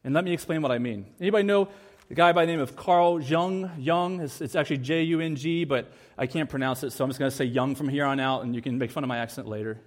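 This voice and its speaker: A man's voice: mid-range voice, quick pace. A man from the United States preaching a sermon in church, earnest and repeating key phrases for emphasis. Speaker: pastor.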